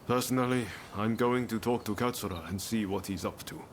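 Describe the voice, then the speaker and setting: An actor playing an easygoing, friendly samurai rebel in a video game, talking in a casual, conversational way. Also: male voice, fairly low pitch, brisk, a little hiss or hum, natural voice. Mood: reflective, reassuring. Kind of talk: video game cutscene acting.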